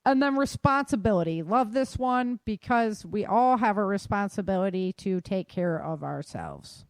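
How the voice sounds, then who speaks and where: A woman's voice: mid-range voice, animated, normal speed, no echo. A woman hosting a casual talk podcast, explaining advice in an emphatic, colloquial way and often checking in with "right?". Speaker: podcast host.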